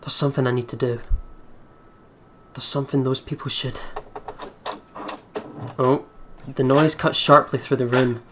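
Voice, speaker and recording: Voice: mid-range voice, level tone, unhurried, pauses often, background noise. A game video host reading a horror visual novel aloud dramatically, with casual asides.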